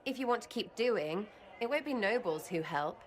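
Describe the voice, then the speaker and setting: A woman's voice: medium pitch, expressive, normal speed, lightly acted. An actress voicing a brash, irreverent young female elf rogue in a video game who talks in cheeky, blunt slang.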